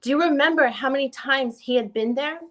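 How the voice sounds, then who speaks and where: A woman plays a methodical female attorney questioning a witness. Her voice is bright and forceful, a little high. The questioning is formal, deliberate and probing. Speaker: reader playing a lawyer. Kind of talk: dramatic reading of a deposition.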